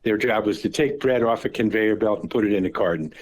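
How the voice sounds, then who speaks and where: A man in his seventies on a phone call, recalling the family business at an unhurried pace, often repeating words and restarting as he remembers. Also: lowish voice, a little mellow. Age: 70s. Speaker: father recounting family business.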